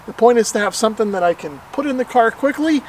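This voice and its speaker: A man's voice: very high voice, animated, fast, slight background noise. A middle-aged American man hosting a hobby how-to, talking in an easygoing, self-deprecating, casual way. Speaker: host of a radio hobby channel.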